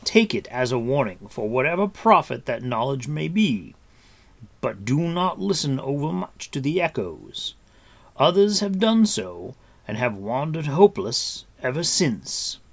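A man playing a noble, wise warrior lord who speaks formally with measured authority in a storybook reading. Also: mid-range voice, big pitch swings, gravelly, pitched above the performer's own voice, heavily acted. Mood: solemn warning. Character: noble, wise, commanding warrior lord, grave but gentle.

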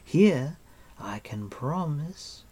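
A cruel enchantress queen from a fantasy storybook, acted out by a narrator. The voice is raised high and drawn out, often slipping toward a breathy hush. It is imperious, coaxing and full of menace. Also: expressive, extremely slow, exaggerated voice. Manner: gentle coaxing turning to menacing hiss. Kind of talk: audiobook character voice.

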